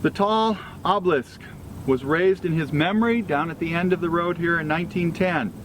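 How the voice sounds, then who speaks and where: Higher-voiced man reading a tribute, noisy outdoors. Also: moderate pace. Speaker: reader at a historical commemoration. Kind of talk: formal scripted tribute reading. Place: outdoors at a cemetery gathering. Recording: outdoor recording with clear ambient vehicle noise.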